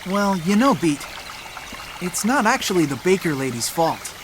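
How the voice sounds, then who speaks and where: A man's voice: sits high, very animated, moderate pace, background noise. A man with an American accent playing a sensible, knowing older boy who explains things matter-of-factly in a video game dub. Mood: explaining. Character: sensible older boy, knowing, mildly scolding big-brother type.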